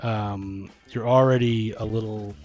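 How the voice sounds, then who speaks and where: A man's voice: lowish voice, fairly even intonation, unhurried, darkish tone, muffled recording. A man co-hosting a casual podcast about tabletop gaming, talking as a dungeon master in a rambling way, thinking aloud. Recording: music playing behind the talk. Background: music.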